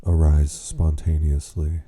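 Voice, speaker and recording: A man leading a guided meditation, speaking in an unhurried, gently questioning way with long pauses. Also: rumbling low, little pitch movement, husky. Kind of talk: slow spoken guided meditation.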